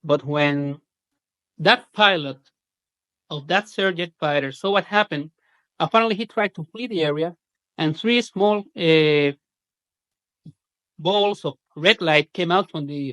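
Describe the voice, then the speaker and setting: Higher-pitched Puerto Rican man recounting incidents. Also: measured pace, long pauses, fairly bright tone, no room sound, low-quality recording. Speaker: UFO researcher giving a presentation.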